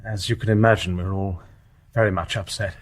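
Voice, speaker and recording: An actor playing a restrained, affectionate young English gentleman in a period radio drama, polite and understated. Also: sits low, fairly even intonation, brisk. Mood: subdued, evasive. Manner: polite, understated, well-bred. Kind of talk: stage-play radio drama acting.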